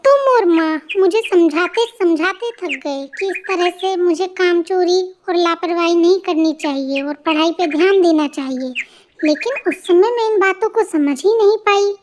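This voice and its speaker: A lazy elder-sister bird, played by a woman, very high in pitch and full of complaint and self-pity. It is children's cartoon storytelling, with faint noise and chirping behind the voice. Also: moderate pace, a little mellow. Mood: regretful. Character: lazy, work-shy married female bird, elder sister.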